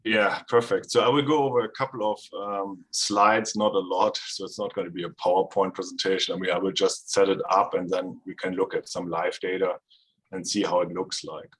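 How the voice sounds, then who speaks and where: German guy presenting over a video call, deep low voice, laid back, lots of ums. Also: a little fast, breathy edge, poor audio. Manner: relaxed, explanatory, with frequent fillers. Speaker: guest presenter. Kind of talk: casual technical presentation.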